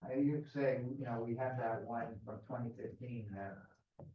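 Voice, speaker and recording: A man's voice: medium pitch, steady tone, moderate pace. A man in the audience asks a question in a hesitant, rephrasing way. He sounds far from the mic, with heavy room echo and a dull, lo-fi tone. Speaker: student asking a question. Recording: distant, very echoey, dull, faint noise.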